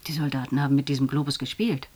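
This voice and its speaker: An actress in a radio drama playing a quietly haunted witness, telling her account plainly and vividly in a husky voice. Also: rumbling low, fairly even intonation, fast talker. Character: woman recounting villages evacuated after radioactive contamination, quietly haunted. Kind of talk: testimony monologue in radio drama.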